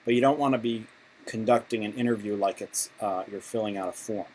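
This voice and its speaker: A man giving an informal instructional talk, speaking in halting, conversational phrasing with frequent restarts. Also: fairly low pitch, a little mellow. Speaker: instructor for patient volunteers. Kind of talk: informal instructional explanation with role-played examples. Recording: clearly noisy amateur recording.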